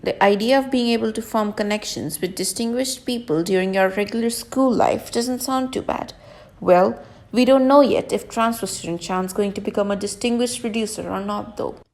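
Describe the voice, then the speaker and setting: A woman plays a sceptical teenage idol boy in an anime fan dub. The voice has a noticeable creak and a casual, wry delivery. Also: medium pitch, moderate pace, darkish tone, close to an everyday voice. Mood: wry, musing. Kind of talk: scripted anime game fan dub acting.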